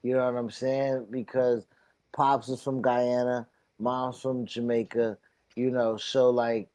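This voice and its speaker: A man co-hosting a casual podcast chat, talking in a halting way and repeating himself as he searches for words. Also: mid-range voice, little pitch movement, darkish tone. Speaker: podcast co-host.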